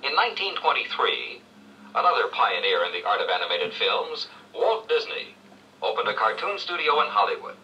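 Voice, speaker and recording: A man reading scripted 1970s educational narration with measured, clear storytelling phrasing. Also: on the low side, very animated, pushed and bright, distant mic, muffled recording. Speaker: read-along narrator. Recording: old read-along played back from afar, faint noise.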